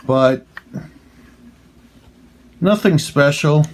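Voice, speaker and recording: A man giving a measured, plain-spoken casual review and demonstration as a hobbyist. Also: on the high side, background noise.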